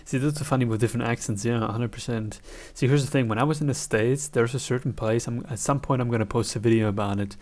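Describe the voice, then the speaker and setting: A man's voice: mid-range voice, quick pace, a little mellow. A young man in his twenties with a German accent, chatting casually as he reacts to a video, rambling and restarting his sentences. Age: 20s.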